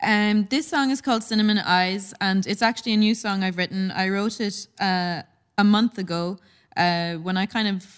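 A woman's voice: medium pitch, normal speed, clean tone. Chatty young Irish woman singer. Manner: chatty, laughing, filler-heavy. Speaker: singer, guest. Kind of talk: casual chat.

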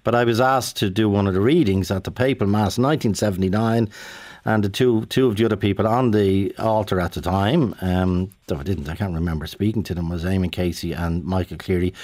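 A Dublin Irish radio host in his sixties, chatting with callers. His voice sits on the low side and sounds close and dry. He talks in a loose, rambling way with plenty of ums and restarts. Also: fairly even intonation, brisk, darkish tone, dry recording. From Dublin, Ireland. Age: 60s. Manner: chatty, many fillers and restarts. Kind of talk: live call-in radio chat. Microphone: studio mic.

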